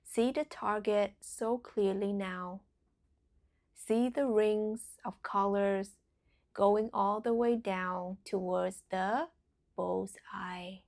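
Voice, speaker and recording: Slow, hushed female meditation guide. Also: medium pitch, fairly even intonation. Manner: soothing, deliberate phrasing with breathy whispered endings.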